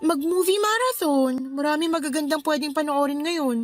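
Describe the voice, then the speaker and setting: Woman acting a high-voiced, pouty young girlfriend. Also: normal speed, soft background music, close to an everyday voice. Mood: pleading. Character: hurt, reproachful young girlfriend, pleading and stubborn. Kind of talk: Tagalog radio drama acting.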